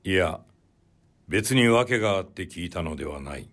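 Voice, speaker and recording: A man voicing a puzzled, stern yet humane old-time Japanese officer in a literary audiobook, with measured, old-fashioned authority. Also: sits low, lively intonation, a bit bright. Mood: curious, probing. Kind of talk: literary audiobook character dialogue.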